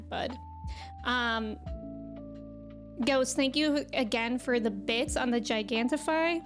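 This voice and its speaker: A woman with a high voice hosts a casual game livestream. She talks informally and in a chatty, giggly way as she welcomes viewers. Faint music plays behind her. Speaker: live game streamer hosting.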